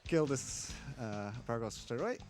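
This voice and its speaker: A man calmly explaining tricks while playing a game live, with frequent fillers. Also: mid-range voice, moderate pace, music in the background. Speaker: speedrunner. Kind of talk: live speedrun commentary.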